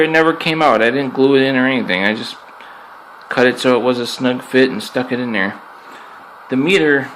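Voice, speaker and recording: A man with an ordinary mid-pitched voice talks through a piece of gear in a loose, off-the-cuff way. He is plainspoken and tends to restate points for emphasis. It sounds like an amateur recording made in an apartment, with noise in the background. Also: a bit bright, poor audio. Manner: plainspoken, conversational, repeats himself for emphasis. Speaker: amateur product reviewer and radio hobbyist. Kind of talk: casual unscripted hands-on review. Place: indoors in an apartment.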